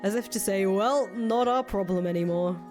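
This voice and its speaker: A woman performs a disillusioned young ex-leader from a visual novel. She is blunt, sardonic and sweary, speaking in a medium-pitched voice over prominent music. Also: moderate pace, a little mellow, close to an everyday voice. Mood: weary, bitter. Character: jaded, foul-mouthed young woman, fugitive ex-cult leader. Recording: music playing under the voice.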